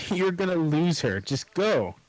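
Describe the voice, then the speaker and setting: A man's voice: fairly high pitch, a little slow, rough recording. Man reading a brash, pushy partner. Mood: impatient. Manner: insulting, impatient, lively. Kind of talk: table read of a script.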